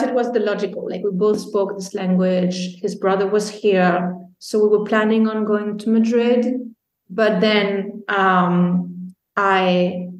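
A woman's voice: mid-range voice, darkish tone. A woman from Belgium, a guest on a podcast, telling her story in a chatty way with many ums. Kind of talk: casual interview talk. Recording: roomy echo.

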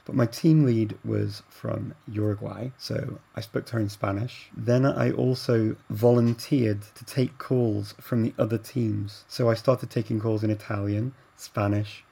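A man, a guest telling stories in a relaxed conversation, getting animated during anecdotes. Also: fairly low pitch, gravelly, slightly rough. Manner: animated when telling anecdotes, laughs while talking. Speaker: guest, language learner. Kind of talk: conversational storytelling.

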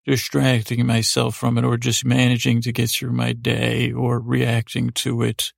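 A man's voice: medium pitch, no room sound, clean studio sound. A man hosting a podcast in a rambling, informal bedtime monologue, hesitant and self-deprecating, often stammering and circling back. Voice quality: gravelly, creaky. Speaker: podcast host.

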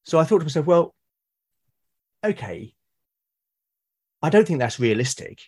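A man with a British accent, a podcast guest talking casually and at length, often pausing to rephrase his thoughts. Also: a little high, big pitch swings, a little mellow, husky. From Britain. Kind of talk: casual conversation about solo roleplaying.

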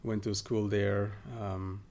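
A man from southern Ethiopia, a guest in a podcast interview, talking casually with many pauses and fillers. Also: lowish voice, flat delivery, a little mellow, slight background noise. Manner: hesitant, many fillers and restarts.

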